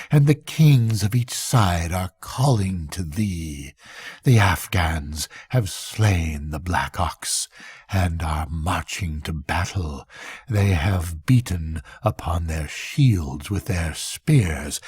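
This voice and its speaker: An older man voicing Avarice, a miserly, possessive, defiant female spirit, in slow, emphatic archaic speech for a bedtime story. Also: low voice, darkish tone, breathy edge, dry recording, studio quality, voice pushed down, exaggerated voice.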